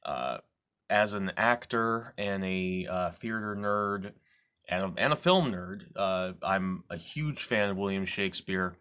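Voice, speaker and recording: A man's voice: deep voice, unhurried, a bit bright, clean tone. A man who works as an actor, casually introducing a dramatic reading to his viewers.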